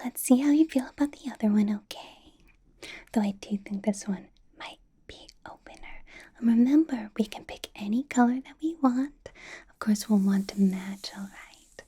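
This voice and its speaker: A woman voicing a possessive, doting, commanding ancient vampire boss in an ASMR roleplay, in a breathy whisper with coaxing hums. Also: fairly high pitch, dark tone, full character voice. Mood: playful, coaxing.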